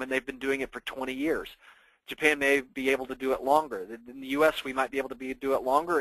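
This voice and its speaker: A man's voice: medium pitch, a bit bright, no echo, poor audio. A man presenting to investors as a financial planner, explaining things informally and conversationally with everyday examples.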